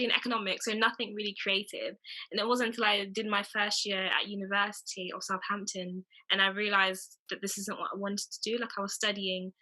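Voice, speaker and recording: A woman's voice: medium pitch, pushed and bright, low-quality recording. A woman in her twenties from London, with a London accent, talking casually as an interview guest. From London, England. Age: 20s. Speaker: interview guest, drama graduate. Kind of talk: casual conversational account.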